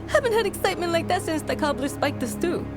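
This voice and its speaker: Gleeful game gossip, high, wildly swooping, excitable. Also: female voice, normal speed, loud music behind the voice. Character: gleeful, gossipy female townsfolk. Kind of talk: video game dialogue acting.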